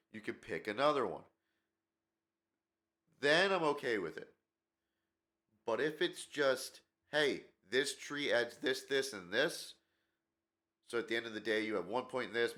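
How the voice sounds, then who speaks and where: A man's voice: fairly low pitch, pushed and bright. A man hosting a gaming channel, talking in a rambling, opinionated way with lots of fillers, his nose stuffed up from a cold. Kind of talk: casual commentary video.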